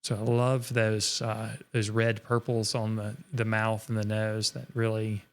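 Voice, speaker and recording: A man's voice: lowish voice, completely flat delivery, measured pace. Earnest encouraging male art instructor. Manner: earnest, encouraging, speaks in long reflective runs. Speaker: art instructor co-hosting a live stream. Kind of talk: live-stream chat, reading viewer comments.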